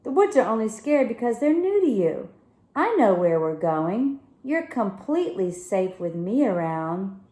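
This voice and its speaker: A woman's voice: medium pitch, sing-song, unhurried, mellow. Woman voicing a breezy young girl in an echoey room. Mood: reassuring. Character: Vanessa, confident, breezily reassuring young girl. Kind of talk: children's audiobook character voice.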